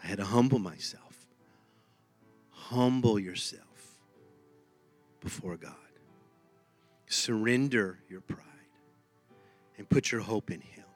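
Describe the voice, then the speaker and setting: A man's voice: on the low side, takes it slowly, pauses often, soft background music. A man with a Texan accent preaching a sermon in an emphatic, conversational way, often repeating his phrases. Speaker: pastor.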